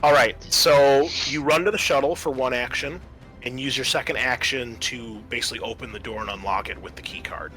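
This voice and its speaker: Bright-voiced man running a tabletop game. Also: mid-range voice, fairly quick, faint music behind. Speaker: game master. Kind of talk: narrating a tabletop roleplaying game.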